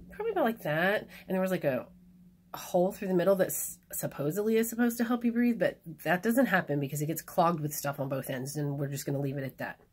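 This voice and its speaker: A woman talks through a casual video diary with a low, husky voice that is still a bit hoarse. She rambles frankly, with plenty of fillers. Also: darkish tone. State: hoarse after surgery. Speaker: vlogger.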